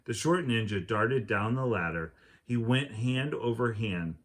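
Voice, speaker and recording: A man reading a children's chapter book aloud as an expressive storyteller who acts out the dialogue. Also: medium pitch, a little slow. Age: adult.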